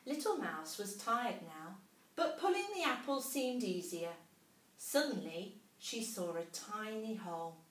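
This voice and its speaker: Slow, expressive woman storyteller. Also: medium pitch. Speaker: schoolteacher reading a storybook. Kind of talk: expressive storytelling for young children. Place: small classroom, indoors. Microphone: camera a few metres away. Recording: roomy classroom, distant camera mic.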